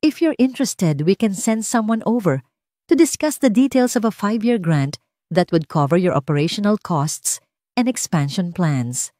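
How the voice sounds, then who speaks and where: A woman's voice: mid-range voice, expressive, normal speed, mellow, dry recording, close to an everyday voice. Woman narrator as businesslike female representative, formal, audiobook drama, dry sound. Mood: formal, polite.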